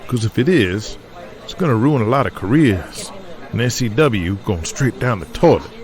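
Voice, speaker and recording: A man voicing a weary, troubled male wrestler and booker who speaks in a halting, folksy way. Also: lowish voice, animated, lightly acted. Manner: quiet, halting, folksy grammar. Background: music underneath.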